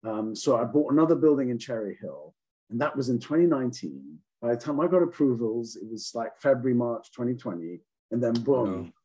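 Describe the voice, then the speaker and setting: A man's voice: mid-range voice, expressive, mellow, breathy edge, rough recording. A man who grew up in England, talking casually as an interview guest about leasing offices, with frequent ums and restarts. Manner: halting, many ums and restarts. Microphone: call audio.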